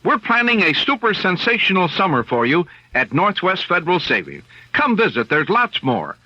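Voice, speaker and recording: Sharp, animated male radio ad announcer. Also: high voice, moderate pace, no room sound. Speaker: commercial announcer. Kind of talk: scripted upbeat radio ad read. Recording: dry, dull radio recording.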